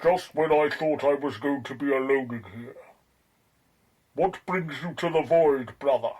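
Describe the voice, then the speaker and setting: An actor voicing a brooding, ancient otherworldly creature in a radio drama. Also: male voice, fairly high pitch, a little slow, a little mellow, poor audio.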